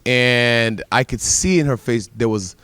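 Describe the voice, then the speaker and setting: A man's voice: mid-range voice, measured pace, fairly bright tone, clean tone, dry recording. A man born in the Bahamas, speaking as a pastor and guest on a TV panel, telling stories earnestly with emphatic repetition. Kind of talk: TV panel discussion.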